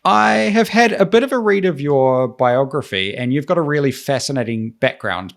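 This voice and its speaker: A man hosting a podcast, asking questions in a conversational interview style. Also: mid-range voice.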